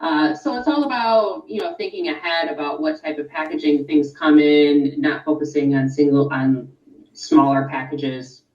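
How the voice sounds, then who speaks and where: A woman's voice: low voice, normal speed, a little mellow, roomy sound, far from the mic, muffled recording. A woman guest in a radio interview, explaining things informatively with frequent fillers. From California. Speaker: interview guest, sustainability expert.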